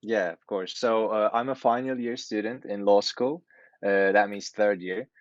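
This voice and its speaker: A man's voice: lowish voice, fairly even intonation, moderate pace, no echo. A man, giving casual interview answers as a student over video call. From Turkey. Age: 20s. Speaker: student guest. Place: indoor room, video call. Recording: dull video-call audio.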